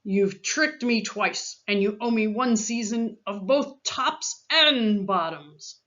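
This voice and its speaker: A woman's voice: mid-range voice, takes it slowly, fairly bright tone, dull audio. A woman voicing a grumpy male bear from a picture book, growling and emphatic. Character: grumpy, lazy male bear, a duped storybook neighbor.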